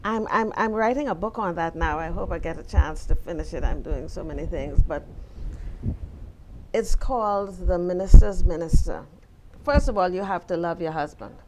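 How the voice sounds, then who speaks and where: An older Bahamian woman speaking in a lowish voice, emphatic and sure of herself. She answers interview questions with the firm, rhythmic delivery of a preacher. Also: moderate pace, a little mellow, slight background noise, rough recording. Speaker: interview guest, retired teacher and pastor's wife. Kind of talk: conversational interview.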